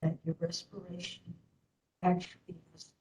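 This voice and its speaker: Lady doing guided meditation, really slow n gentle, mellow voice, lots of pauses. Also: lowish voice, monotone. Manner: gentle, unhurried with long pauses. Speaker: meditation and yoga instructor.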